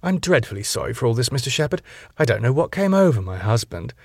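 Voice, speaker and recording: A man's voice: medium pitch, sing-song, quick pace, creaky, slightly rough, dry recording, studio quality, exaggerated voice. A man voicing a polite, soothing middle-aged woman, the club owner's wife, who talks genteelly.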